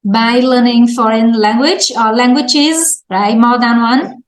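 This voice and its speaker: Vietnamese-accented woman teaching english over a video call, slow and patient, kinda echoey room. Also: fairly high pitch. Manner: patient, prompting, laughs often. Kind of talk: online English lesson.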